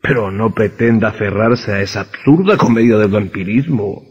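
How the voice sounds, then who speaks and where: An actor playing a stern, dismissive judge in an old radio horror drama, grave and authoritative. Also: male voice, on the low side, moderate pace, husky. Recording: muffled old radio recording, long reverb.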